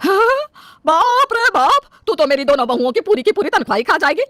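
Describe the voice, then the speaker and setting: A woman's voice: very high voice, very animated, fairly quick, a bit bright, no echo, pitched above the performer's own voice, exaggerated voice. A woman voicing a bossy, fault-finding middle-aged mother-in-law in a family story, in a scolding, demanding manner. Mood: haggling.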